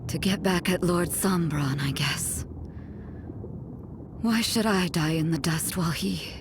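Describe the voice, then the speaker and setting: Actress as slow, wistful dying villainess. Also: female voice, lowish voice, lightly acted. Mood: bitter. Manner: slow, wistful, measured delivery. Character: dying villainess, wistful older motherly woman, regretful and elegant. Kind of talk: dramatic game cutscene acting. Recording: voice over loud background music.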